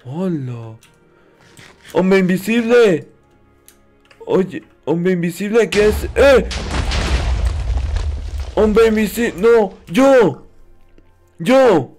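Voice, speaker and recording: Male gaming host, very high-pitched, naive childlike roleplay delivery, music underneath. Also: lively intonation, drawn-out words, pauses often, a little mellow. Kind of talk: playful noob roleplay commentary over a video game.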